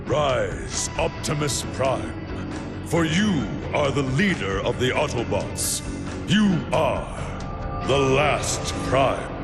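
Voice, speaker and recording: An actor playing a grave, wise robot council elder in a video game, speaking in a measured, ceremonial manner. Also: male voice, medium pitch, big pitch swings, loud music behind the voice. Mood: solemn, ceremonial. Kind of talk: video game cutscene acting.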